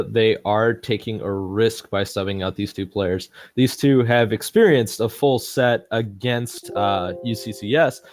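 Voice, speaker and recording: A man doing live esports commentary in a measured, analytical way. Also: mid-range voice, no room sound, clean studio sound. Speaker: esports caster.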